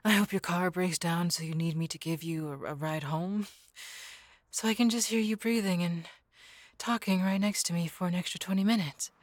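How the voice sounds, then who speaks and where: A woman's voice: lowish voice, fairly even intonation, normal speed, dry recording, clean studio sound, close to an everyday voice. A woman voicing a clingy, obsessive young woman in love with her coworker, stammering and often dropping to a whisper. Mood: adoring. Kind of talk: audio roleplay acting.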